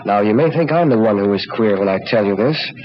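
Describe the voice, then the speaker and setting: An actor playing a grave, cautious middle-aged doctor, explaining solemnly in 1940s radio drama style. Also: male voice, fairly low pitch, expressive, fairly quick, close to an everyday voice. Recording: muffled, noisy old radio recording.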